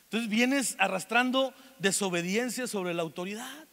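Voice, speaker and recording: A man's voice: high-pitched, moderate pace, a bit bright, big-room sound. A man in his forties with a Mexican accent, preaching a sermon and mixing jokes and stories with earnest calls to forgive. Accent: Mexican Spanish. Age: 40s. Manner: joking storytelling between earnest exhortation. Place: church meeting hall.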